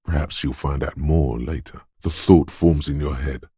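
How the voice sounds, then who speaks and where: Very deep, smooth inner voice. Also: male voice, fairly even intonation, normal speed, warm tone, no echo, clean studio sound, exaggerated voice. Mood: wry, observant. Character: inner voice of a detective's quick reflexes and wits. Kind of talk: video game narration, second-person inner voice.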